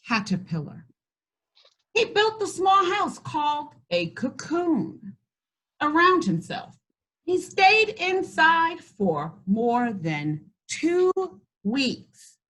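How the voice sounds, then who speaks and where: A woman hosting a children's read-aloud, encouraging and slow, stretching words as she reads. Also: high voice. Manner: warm, encouraging, slows and stretches words when reading. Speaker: school district host reading to children. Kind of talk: read-aloud lesson and chat.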